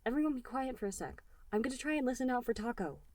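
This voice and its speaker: A woman's voice: on the high side, lively intonation, quick pace, a little mellow, no echo, voice pushed up, lightly acted. Woman playing an anxious, exasperated cartoon microphone. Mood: frantic. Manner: tense, insistent.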